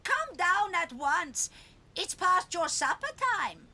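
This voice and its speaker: A woman's voice: very high-pitched, sing-song, slow, bright, forceful tone, no echo, full character voice. An actress playing a protective old grandmother, a solemn and dramatic storyteller in a dramatized audiobook. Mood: calling, reassuring.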